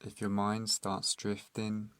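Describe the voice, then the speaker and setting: Male meditation guide: calm and soothing, extremely monotone delivery, very dry close recording. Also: fairly low pitch, clean tone, no echo. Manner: calm, soothing, instructional, with long pauses.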